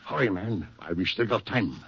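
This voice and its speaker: An actor playing a brusque, urgent wartime comrade, clipped and commanding, in 1940s radio drama style. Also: male voice, lowish voice, expressive, fairly quick, vocal fry, a bit bright, slightly rough, no echo, full character voice. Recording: dull, dry 1940s radio recording.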